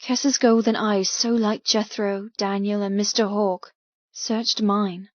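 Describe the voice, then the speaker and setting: A woman narrates a first-person novel in a medium-pitched voice. Her delivery is measured and dramatic. The sound is very dry and close, with slightly muffled highs. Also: level tone, no echo. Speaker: audiobook narrator.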